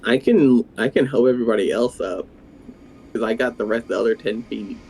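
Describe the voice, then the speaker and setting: A man's voice: medium pitch, brisk, a little mellow, slight background noise. A man talking casually as a player in a tabletop role-playing game. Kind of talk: casual chat.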